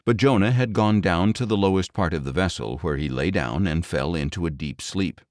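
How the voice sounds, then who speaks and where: A man reading scripture as an audiobook narrator in a measured, solemn, dramatic storytelling style. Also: low-pitched, a little mellow, dry recording, clean studio sound.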